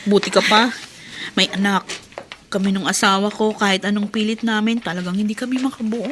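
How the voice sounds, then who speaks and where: A Filipino actress playing a married schoolteacher, friendly yet wistful, in a radio drama. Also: female voice, mid-range voice, fairly even intonation, a little fast, noisy background, natural voice. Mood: wistful, confiding. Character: married schoolteacher woman, friendly, wistful about being childless. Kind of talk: Tagalog radio drama acting.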